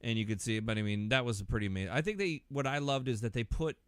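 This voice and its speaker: Clear-voiced man in casual conversation. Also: medium pitch, rapid-fire, no echo, clean studio sound. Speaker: podcast speaker. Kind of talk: casual conversation about concerts.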